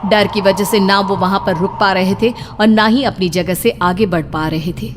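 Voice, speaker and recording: A woman's voice: medium pitch, fairly even intonation, moderate pace, noisy background. A woman delivering scripted narration of a horror story. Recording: clear music under the voice.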